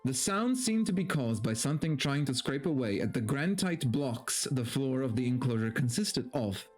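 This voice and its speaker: A man's voice: mid-range voice, animated, moderate pace, darkish tone, soft background music. A man streaming a dramatic story reading, with theatrical narration and casual, mock-exasperated asides.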